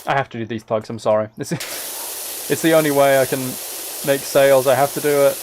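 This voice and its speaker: A soft-spoken man livestreaming, chatting casually to viewers while he plays a game. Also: medium pitch, fairly quick. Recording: clear background noise, spraying game sounds. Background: game ambience and water spray.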